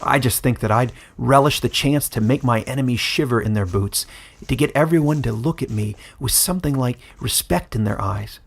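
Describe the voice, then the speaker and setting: A man's voice: mid-range voice, normal speed, lightly acted. A man voicing a young exiled village boy who asks earnest, eager questions. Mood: longing.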